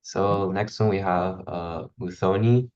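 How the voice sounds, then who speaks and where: Slow, very deep-voiced man calling names. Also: darkish tone, muffled recording. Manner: matter-of-fact, brief. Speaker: class organizer. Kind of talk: calling on participants one by one. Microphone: video call.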